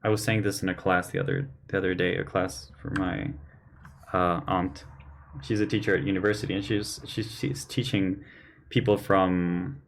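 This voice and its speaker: Low-voiced man, slightly hoarse, chatting while painting. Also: flat delivery, moderate pace, slight background noise. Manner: earnest, opinionated, thinks aloud. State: losing his voice, slightly hoarse. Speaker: artist hosting a painting livestream. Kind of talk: casual chat while painting. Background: faint keyboard and mouse clicks.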